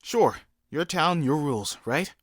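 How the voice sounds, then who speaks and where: A man's voice: fairly high pitch, expressive, a little slow. A man voicing a wry, skeptical young hedgehog hero in a cartoon comic dub, talking in a casual, sardonic way. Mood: concerned. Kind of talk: comic book fan dub cartoon acting.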